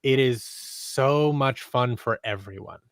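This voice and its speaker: A man's voice: medium pitch, slow, no room sound. Male interview guest, thirties, enthusiastic rambler, close dry mic. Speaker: guest, audio drama creator and actor. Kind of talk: casual interview chat. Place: small home room on a video call. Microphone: close studio mic on boom arm with headphones.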